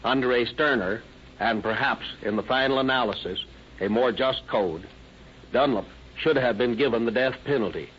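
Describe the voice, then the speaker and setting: A man as a grave, unforgiving police chief giving a formal, measured, oratorical address on 1930s radio. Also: mid-range voice, a bit bright, natural voice. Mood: grave, disapproving. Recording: muffled old radio recording, faint noise.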